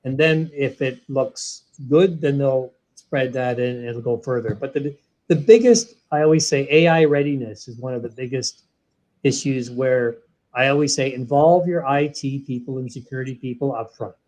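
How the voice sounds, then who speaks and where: A man, a guest in an interview, explaining a software product in an animated way, walking through each step. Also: medium pitch, moderate pace, low-quality recording. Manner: animated, walks through steps one by one. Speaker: guest, sales training software executive.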